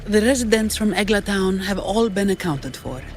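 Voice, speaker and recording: An actress playing an efficient, alert young security officer in a video game, reporting in a clipped, businesslike way. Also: female voice, medium pitch, expressive, normal speed, slight background noise, natural voice.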